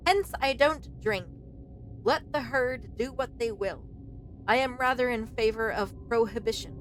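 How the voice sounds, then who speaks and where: A woman's voice: on the high side, a little hiss or hum, low-quality recording. A woman hosting a let's play, casually commenting, reading aloud and reacting expressively to the game. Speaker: streamer, let's play host. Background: faint game music.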